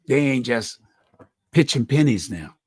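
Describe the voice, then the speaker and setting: A middle-aged man with a deep voice, hosting a community radio talk show and speaking earnestly about faith and helping others, often restarting phrases. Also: animated, unhurried, a little mellow, husky, dry recording, studio quality. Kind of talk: reflective personal talk.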